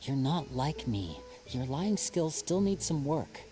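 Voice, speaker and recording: An actor playing a confident, charming young stage magician who questions his younger brother in a smooth, probing, gently teasing way. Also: a little high, big pitch swings, slow. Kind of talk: video game voice acting. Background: game music.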